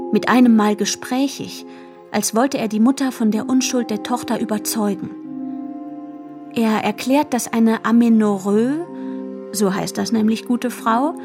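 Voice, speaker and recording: A woman's voice: mid-range voice, close to an everyday voice. An actress playing a young woman who re-enacts a girlhood in radio drama, precise and observant. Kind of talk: literary radio drama narration, present tense. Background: music.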